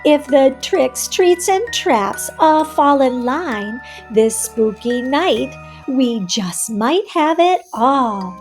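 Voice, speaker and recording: A woman reading a children's storybook aloud as host, animated and instructive, speaking directly to young listeners. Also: high-pitched, takes it slowly, few pauses, faint music behind.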